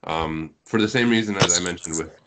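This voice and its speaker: A man's voice: deep voice, a little fast, background noise. A man speaking as a podcast guest in casual conversation, explaining things in a talkative way. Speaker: guest on a podcast, web novel translator.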